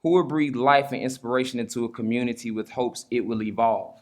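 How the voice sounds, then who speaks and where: A man's voice: medium pitch, fairly even intonation, fairly quick, darkish tone. A man delivering a formal prepared speech to close a conference talk. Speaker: conference speaker.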